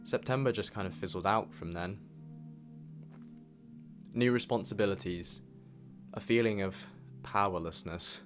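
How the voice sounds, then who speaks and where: Young guy doing scripted vlog voiceover, really low voice, bit of music behind. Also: unhurried, pauses often. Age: young adult. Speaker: vlogger narrating own year in review. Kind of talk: scripted voice-over narration. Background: background music.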